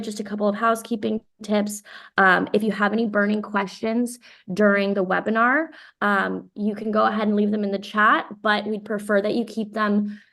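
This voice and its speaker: Woman host with a gravelly edge. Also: mid-range voice, a bit bright. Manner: upbeat, with frequent ums. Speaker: webinar host. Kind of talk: webinar introduction.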